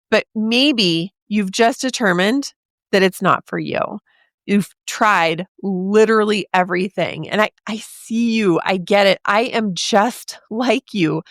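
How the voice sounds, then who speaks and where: A woman in her forties hosting a podcast, talking straight to the listener in a chatty, encouraging way, her voice a little raspy from a sore throat. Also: medium pitch, a bit bright, no echo, clean studio sound. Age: 40s. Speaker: podcast host. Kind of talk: casual podcast monologue.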